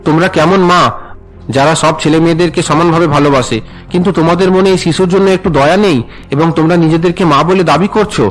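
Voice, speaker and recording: A man's voice: on the high side, fairly even intonation, fast, soft background music, close to an everyday voice, low-quality recording. A man voicing an earnest, protective young husband and father in a cartoon family melodrama. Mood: indignant. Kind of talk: Bengali cartoon melodrama dubbing.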